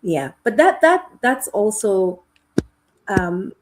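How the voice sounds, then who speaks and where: A middle-aged woman from Kenya with a Kenyan accent, speaking as a guest on a video-call interview in a thoughtful, unhurried way. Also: mid-range voice, big pitch swings, slight background noise, low-quality recording. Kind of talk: conversational interview.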